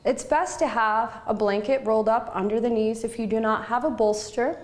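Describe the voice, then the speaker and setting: A woman yoga instructor leading a guided relaxation in short, measured, soothing phrases with pauses between them. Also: on the high side, steady tone.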